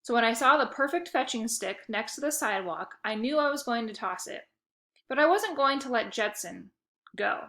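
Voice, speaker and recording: Bright-voiced woman reading a kids' book. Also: a little high, normal speed. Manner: lively, expressive storytelling. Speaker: reader. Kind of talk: reading a children's novel aloud.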